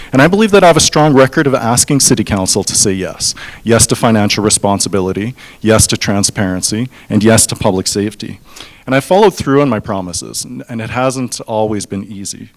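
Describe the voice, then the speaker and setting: A man's voice: fairly low pitch, poor audio. A young Canadian man running for mayor, giving an earnest, personal campaign speech with the occasional restart. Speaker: mayoral candidate.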